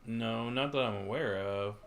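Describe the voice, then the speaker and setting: A man with a medium-pitched voice streaming a game. He sounds laid-back and chats casually, dropping short offhand comments as he plays along. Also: moderate pace, a crowd in the background. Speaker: game livestreamer. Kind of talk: casual playthrough reactions.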